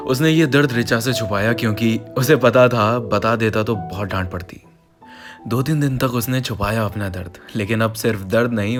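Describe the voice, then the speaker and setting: An Indian man telling an emotional scripted story in an earnest, conversational way. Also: fairly low pitch. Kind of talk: dramatic, emotional scripted narration of a sad story. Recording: voice over background music. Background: music.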